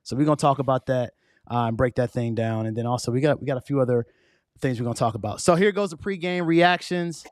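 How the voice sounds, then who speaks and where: A man hosting a podcast in a casual, slangy way, his voice hoarse and fading. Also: mid-range voice, quick pace, no room sound, studio quality. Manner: laid-back, slangy, conversational. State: losing his voice. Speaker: podcast host.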